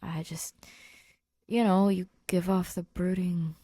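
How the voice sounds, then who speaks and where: A woman's voice: fairly low pitch, level tone, takes it slowly, mellow, no echo, clean studio sound. A woman playing a flustered, awkward middle-aged male schoolteacher who repeats words.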